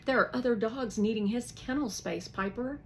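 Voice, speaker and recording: A woman's voice: on the high side, moderate pace, a little hiss or hum, pitched above the performer's own voice, close to an everyday voice. A woman reading aloud, voicing a firm but kindly woman who works at an animal shelter.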